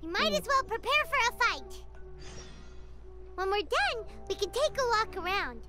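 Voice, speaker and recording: A woman voicing a tiny, perky, childlike fairy companion in a video game, squeaky and bubbly. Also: very animated, a little slow, exaggerated voice. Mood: chatty. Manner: bubbly, exclamatory, third-person self-reference. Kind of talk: cartoonish video game acting. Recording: game music behind.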